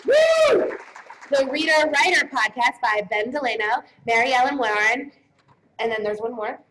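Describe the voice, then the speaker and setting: A woman's voice: sits high, very animated, normal speed, fairly bright tone, a little hiss or hum, rough recording. A woman casually presenting awards live on stage in a playful, joking manner.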